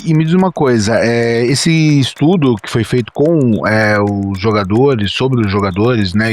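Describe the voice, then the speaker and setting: A man's voice: medium pitch, a little mellow. A man from Brazil hosting a radio interview, conversational and prompting with tag questions. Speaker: radio host. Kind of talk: casual interview.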